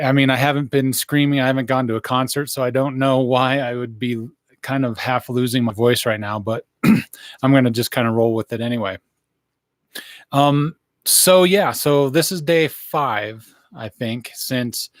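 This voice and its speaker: A hoarse man in his thirties giving a casual, rambling live update into a studio microphone in a small room. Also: medium pitch, no echo. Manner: rambling, many fillers and restarts. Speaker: vlogger giving a health update. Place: small indoor room, wood-plank backdrop. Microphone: studio mic on boom arm in front.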